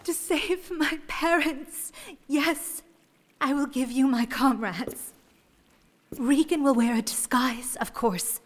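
An actress playing a shrewd, composed young woman in a fantasy audio drama, speaking formally and deliberately. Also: sits high, big-room sound. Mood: resolute.

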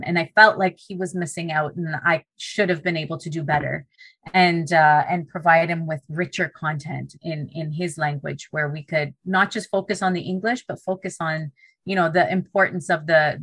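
Woman teacher, first language Punjabi, earnest and reflective, lowish voice, dry close sound. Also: fairly bright tone, dry recording, poor audio. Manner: thoughtful, repeats words while gathering thoughts. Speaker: teacher and book co-author. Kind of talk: earnest conversational interview answer.

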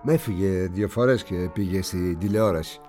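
An actor playing a worldly, condescending older newspaper publisher in a radio drama, speaking with musing pauses. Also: male voice, deep voice, dark tone, faint music behind, natural voice. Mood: dismissive, assured. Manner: theatrical, patronizing, with musing pauses.

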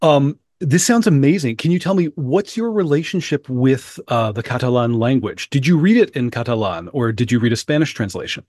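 A man's voice: mid-range voice, expressive, brisk, few pauses, a little mellow, dry recording, studio quality. A man co-hosting a podcast, interviewing guests in a thoughtful, playful way. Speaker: podcast co-host.

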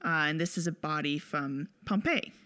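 A woman's voice: low voice, normal speed, bright, forceful tone, no room sound. Woman co-hosting a podcast, dry sound. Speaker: podcast co-host. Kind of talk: casual film discussion.

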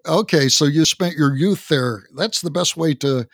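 A man hosts a podcast interview in a measured, questioning manner. His voice sits a little high for a man. The sound is close and dry. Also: dry recording. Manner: measured, asks follow-up questions. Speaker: podcast host.

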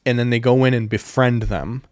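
A man's voice: mid-range voice, steady tone, brisk, no echo, clean studio sound. A young man co-hosting a podcast, talking casually in a rambling way with lots of fillers and laughing often. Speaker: podcast co-host.

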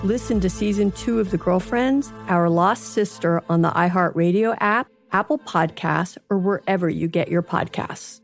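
A woman's voice: on the low side, normal speed, vocal fry, few pauses. A woman hosting a podcast, reading a scripted promo narration. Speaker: podcast host. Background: music bed.

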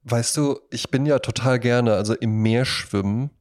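Male podcast co-host, mid-pitched, casual rambling talker who tags his sentences. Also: moderate pace, darkish tone. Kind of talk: casual podcast chat with anecdotes.

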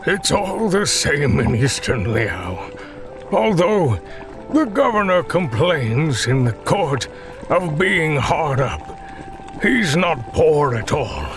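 A man voicing a blind, frail old man who tells stories in a slow, weary, complaining drawl. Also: on the high side, sing-song, music in the background, pitched above the performer's own voice, heavily acted.

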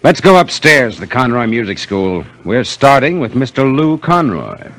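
An actor with an American accent playing a young, quietly authoritative police inspector, terse and probing, in 1950s radio style. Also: male voice, medium pitch, big pitch swings, moderate pace, soft background music. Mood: calm, probing.

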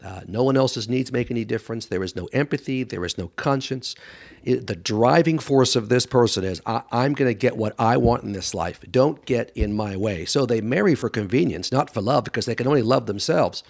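A man's voice: medium pitch, a little fast, vocal fry. A man speaking as a guest expert in an interview, answering conversationally in a blunt, emphatic way and acting out what others say. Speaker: guest expert doctor and author on a radio show.

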